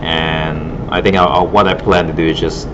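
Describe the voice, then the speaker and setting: Low-voiced man on muffled, noisy audio. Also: flat delivery. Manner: rambling, halting, thinking aloud. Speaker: art teacher. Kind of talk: casual live demo commentary. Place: classroom. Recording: muffled broken audio, loud room noise.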